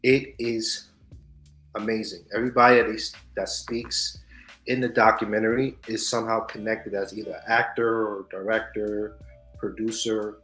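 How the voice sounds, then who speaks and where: A man co-hosting a casual news and culture show, animated, swinging between loud hype and soft asides. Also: on the low side, normal speed. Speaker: podcast co-host. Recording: echoey room with faint music.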